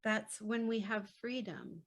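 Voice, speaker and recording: A woman speaking as a podcast guest in a reflective conversation, gentle, unhurried and reassuring. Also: medium pitch. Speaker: podcast guest, children's book author.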